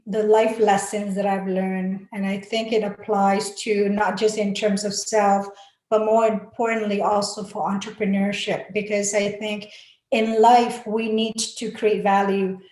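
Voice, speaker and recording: A woman's voice: mid-range voice, level tone, unhurried, a little mellow. A woman from Malaysia, giving an earnest, encouraging talk on a webinar panel, full of fillers and restarts. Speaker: panel speaker. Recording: echoey room.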